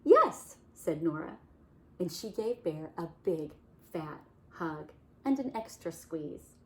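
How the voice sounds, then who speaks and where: A woman reading a children's picture book aloud in a soft-spoken, unhurried way. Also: sits low, lively intonation, a little hiss or hum. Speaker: children's storyteller.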